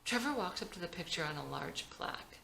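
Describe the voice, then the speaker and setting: A woman's voice: fairly low pitch, animated, a little fast, creaky, pushed and bright. A woman reading scene directions from a screenplay as scripted narration in a dramatic table read. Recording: roomy echo.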